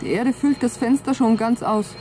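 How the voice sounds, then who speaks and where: An actress playing a cool, dry astronaut co-pilot in a German radio play, speaking in a clipped, composed way. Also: female voice, on the high side, fairly even intonation, moderate pace, mellow, soft background music, natural voice. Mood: focused. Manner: clipped, composed, dryly ironic.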